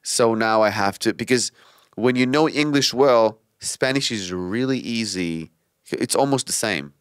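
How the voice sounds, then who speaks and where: A comedian from Israel hosting a casual podcast chat, animated and quick to laugh. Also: male voice, on the low side, smooth, no room sound, clean studio sound. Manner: animated, laughs often, self-deprecating jokes. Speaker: podcast host; stand-up comedian.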